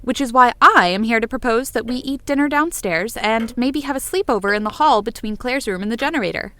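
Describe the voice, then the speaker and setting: Woman acting exuberant, bossy, theatrical young friend, higher-pitched. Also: a little fast, sharp tone, no room sound. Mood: dramatic, coaxing. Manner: playful, theatrical wordiness. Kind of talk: fiction podcast acting.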